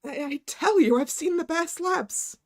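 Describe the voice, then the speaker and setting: A woman voicing a frightened young husband who shouts frantically. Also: extremely high pitch, unhurried, voice pushed up. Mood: frantic.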